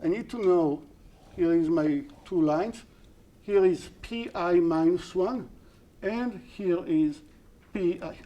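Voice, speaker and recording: A male university lecturer, a Hebrew speaker working through an algorithm in English. His voice sits a little high yet sounds mellow and soft-edged. He explains step by step, pausing to restart and correct himself. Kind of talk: live-streamed algorithms lecture.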